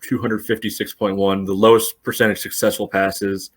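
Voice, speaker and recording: A low-voiced male podcast co-host with a dry, blunt delivery. He rattles off numbers and makes short, pointed remarks. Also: little pitch movement, normal speed. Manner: dry, blunt, reads out statistics. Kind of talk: casual sports chat.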